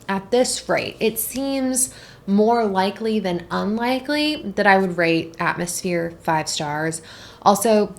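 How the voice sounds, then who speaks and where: A woman talks casually to her viewers as a book reviewer, in a mid-pitched voice with plenty of creaky fry. She is chatty and expressive, leaning on drawn-out words for emphasis and gently mocking herself. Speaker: book vlogger and host.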